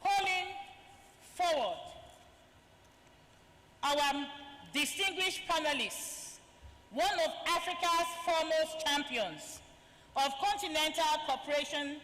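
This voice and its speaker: High, bright-voiced woman emcee. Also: takes it slowly, pauses often. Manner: ceremonious, reads guest bios aloud with honorifics. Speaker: master of ceremonies. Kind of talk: formal event introductions. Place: large conference hall. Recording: reverberant conference hall.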